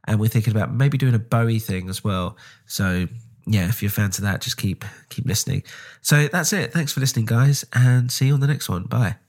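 A man with a slightly low voice talks through a solo podcast update in a loose, rambling way. He sounds nasal from a cold, and he stumbles over restarts and fillers. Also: brisk, darkish tone. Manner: rambling, frequent ums and restarts. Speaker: podcast host.

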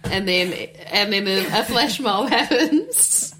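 A woman with a New Zealand accent chats on a podcast at an easy, unhurried pace. Her pitch swings up and down with lively expression. She sounds relaxed and giggly, and she turns thoughtful when she reflects. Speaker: podcast co-host. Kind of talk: casual chat.

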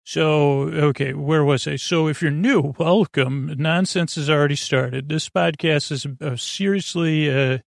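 A podcast host with a fairly high, very creaky male voice, recorded close and dry. He wanders through a bedtime monologue, piling up filler words and tangents. His manner is goofy and self-deprecating, never quite getting to the point. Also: unhurried, few pauses, dry recording. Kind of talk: rambling bedtime monologue to help listeners sleep.

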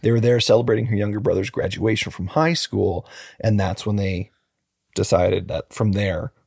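A man co-hosting a true crime podcast, telling the story in a relaxed, joking way with lots of fillers. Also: on the low side, moderate pace, vocal fry, husky, dry recording, clean studio sound. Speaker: podcast co-host.

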